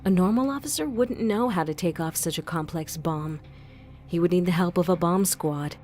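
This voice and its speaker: A woman playing a determined, methodical vigilante investigator in an audio crime drama, explaining things in a measured way. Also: on the low side, darkish tone, slight background noise, close to an everyday voice. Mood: measured, serious.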